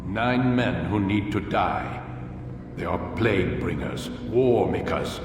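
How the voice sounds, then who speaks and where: An actor playing a stern, solemn aging master of an assassin brotherhood in a video game cutscene, with a measured, commanding, fatherly and grandiose manner. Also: low-pitched, animated, recorded from a distance, background noise. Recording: echoey hall, music behind.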